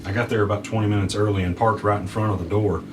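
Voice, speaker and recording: A man's voice: lowish voice, fairly even intonation, fast, natural voice. An actor playing a wary, nervous audio editor telling his uneasy first-person story in an audio drama. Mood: tense, wary. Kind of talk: audio drama narration and dialogue. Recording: clearly noisy background.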